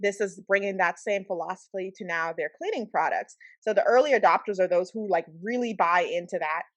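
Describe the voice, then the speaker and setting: A woman from the Bahamas hosts a podcast conversation, animated and encouraging, with frequent laughs and mm-hmms. Her voice sits mid-range, recorded close and dry. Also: mid-range voice, brisk, no echo. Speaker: podcast host. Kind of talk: casual podcast interview.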